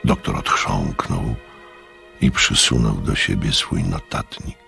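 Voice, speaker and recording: An actor playing a composed gentleman narrator in a Polish radio play, gravelly, sober and measured. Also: a bass voice, soft background music, full character voice. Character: composed gentleman narrator recalling a strange experiment.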